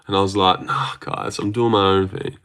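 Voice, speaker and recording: A man's voice: sits low, little pitch movement, moderate pace, fairly bright tone, clean tone. An Australian man hosting a casual livestream, answering viewer comments in a rambling, joking way with lots of fillers and restarts. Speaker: livestream host.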